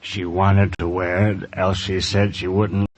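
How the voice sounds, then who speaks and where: A man acting an anguished, confessing husband in an old radio crime drama, halting and desperate. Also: deep voice, little pitch movement, rough recording. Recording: old radio recording with background hiss.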